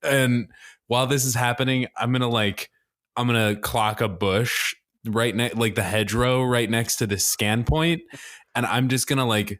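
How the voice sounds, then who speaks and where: A man's voice: fairly low pitch, fairly bright tone. A man playing a tabletop game, improvising casually as he describes what his character does.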